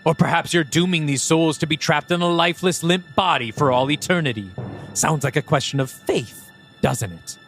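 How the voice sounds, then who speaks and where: A man's voice: fairly high pitch, moderate pace, a bit bright, faint music behind, pitched above the performer's own voice. A man voicing a mocking male demon who has possessed a priest and taunts a congregation with theatrical flair. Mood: preaching.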